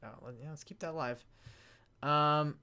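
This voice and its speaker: A man answering fan questions in a casual live Q&A as a panelist, halting and often rambling as he interrupts himself. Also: fairly high pitch, steady tone, sharp tone, smooth.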